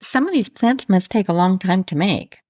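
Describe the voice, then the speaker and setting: A woman's voice: mid-range voice, animated, moderate pace, darkish tone, no echo, studio quality. A woman hosting a casual interview, chatting with her guest and asking questions. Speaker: podcast host, interviewer.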